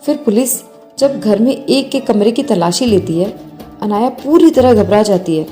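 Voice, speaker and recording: Mellow woman storyteller. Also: mid-range voice. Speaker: narrator. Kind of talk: scripted bedtime story narration.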